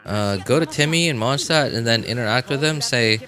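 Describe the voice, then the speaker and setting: A man's voice: medium pitch, moderate pace, pushed and bright, faint music behind. A man livestreaming games, chatting casually with viewers in a rambling, hesitant way, often backtracking and hedging. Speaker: game livestreamer.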